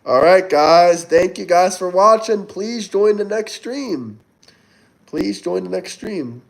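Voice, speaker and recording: A man's voice: high voice, expressive, takes it slowly, gravelly. A man in his twenties from Florida, hosting a casual livestream and answering viewer chat as he reads it out. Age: 20s. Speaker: livestream host.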